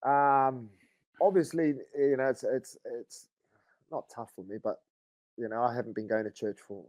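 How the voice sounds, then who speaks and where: A man's voice: medium pitch, normal speed, no echo. A man in his thirties with a New Zealand accent, telling stories at an easy pace in a casual podcast chat. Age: 30s. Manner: laid-back, relaxed storytelling with frequent "bro" and fillers. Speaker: guest, rugby league player.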